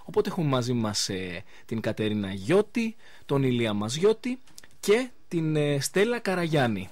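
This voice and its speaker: A man hosting a live radio interview in speech, chatting informally with pauses as he goes. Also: medium pitch, very animated, dry recording. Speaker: radio show host.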